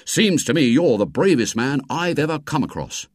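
A man's voice: medium pitch, big pitch swings, moderate pace, dry recording, clean studio sound, voice pushed up. A man voicing a small, self-important boy who fancies himself a spy, in a storybook reading. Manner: darkly boastful, self-important. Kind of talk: acted dialogue in storybook reading.

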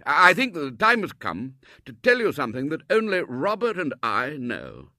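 A man voicing a blustering elderly Colonel who gushes loudly and stammers at times in a storybook reading. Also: huge pitch swings, moderate pace, no room sound, studio quality, exaggerated voice. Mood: gushing. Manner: booming, gushing, stammering at times. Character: blustering elderly male Colonel, loud and effusive.

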